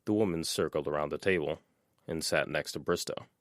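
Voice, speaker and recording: A man narrating a fan audiobook chapter in an expressive, measured reading style. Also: a bass voice, no room sound, studio quality. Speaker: audiobook reader.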